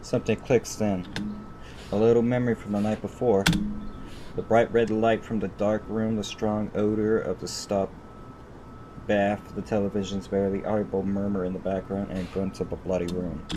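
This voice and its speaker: A man with a slightly low voice reads a horror visual novel aloud as a streamer. His delivery is dramatic narration, now and then going back over a phrase. There is faint rumble behind him.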